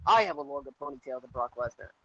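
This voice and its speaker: A man's voice: mid-range voice, expressive, fast, fairly bright tone. A man co-hosting a casual wrestling podcast, dry and joking, often restarting his sentences. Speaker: podcast co-host. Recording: dull lo-fi podcast audio.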